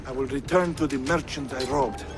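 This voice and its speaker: An actor playing a pleading, theatrical young thief in a video game, with a flowery, imploring manner. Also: male voice, mid-range voice, brisk, over music, natural voice. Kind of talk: video game cutscene acting.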